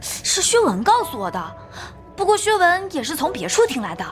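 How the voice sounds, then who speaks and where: An actress playing a cheeky, carefree teenage schoolgirl in an animated crime drama, chattering in a chirpy, gossipy way. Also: very high-pitched, normal speed, soft background music, exaggerated voice.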